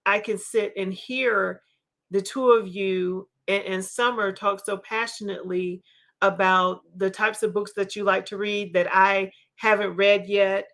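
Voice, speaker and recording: Female host, 50s, mid-pitched voice, chatty and enthusiastic, casual book-club style conversation. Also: a bit bright. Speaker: panel host. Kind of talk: casual book community chat.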